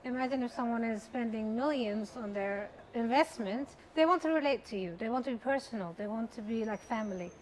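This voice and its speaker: Chatty middle-aged businesswoman, higher voice. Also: a little fast, hardly any pauses, slight background noise, rough recording. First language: Persian. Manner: chatty storyteller, lively when recounting. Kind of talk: interview conversation.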